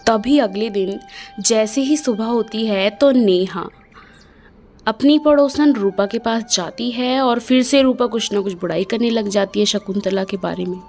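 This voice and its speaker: Woman storyteller, storybook narration, slow pace, slightly high voice. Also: darkish tone, faint music behind. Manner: plain, unhurried storytelling.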